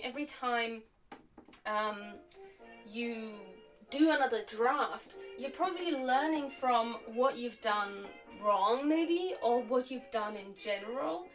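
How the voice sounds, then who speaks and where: Relaxed higher-voiced woman, chatting. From Slovakia, raised in Germany. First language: Slovak. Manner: relaxed, reflective, chatty with frequent fillers. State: slightly sore throat. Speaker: live stream host. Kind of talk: casual chat while crafting. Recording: distant mic with faint music.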